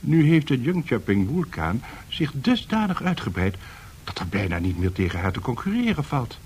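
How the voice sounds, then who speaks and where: An actor playing a courteous, deferential bank director in a radio drama, with a husky voice and formal manner. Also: male voice, medium pitch, sing-song, normal speed, darkish tone, slight background noise, close to an everyday voice. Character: courteous, flattering bank director seeking help. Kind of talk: radio play acting.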